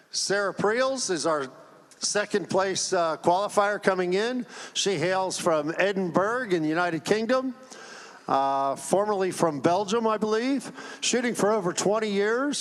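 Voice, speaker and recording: A fairly high-voiced man working as a live announcer, upbeat and chatty. His voice rings with a long hall echo through the arena speakers. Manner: upbeat, chatty, jokes with players. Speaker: stage announcer. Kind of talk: live event announcing and interviews. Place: large indoor arena. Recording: echoey hall sound over PA.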